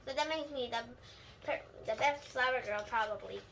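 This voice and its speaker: A woman's voice: on the high side, vocal fry, a bit bright, a little hiss or hum. A young female reader voicing a gushing, boastful little schoolgirl in a children's storybook, pushy and eager. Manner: pushy, rapid repeated questions. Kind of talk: children's storybook read-aloud.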